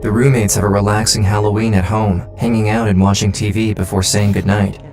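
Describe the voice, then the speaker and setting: A man's voice: on the low side, flat delivery, a little fast, music in the background. A man reading a dramatic scripted true crime narration. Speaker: documentary narrator.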